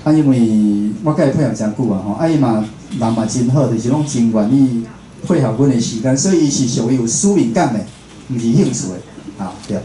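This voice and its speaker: A man's voice: mid-range voice, a little fast, mellow. A man with a Taiwanese accent giving an informal, chatty public talk, often closing his phrases with a small confirming tag. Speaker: radio host and programme producer giving a talk. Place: indoor talk venue with a seated audience. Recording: roomy echo, faint background noise.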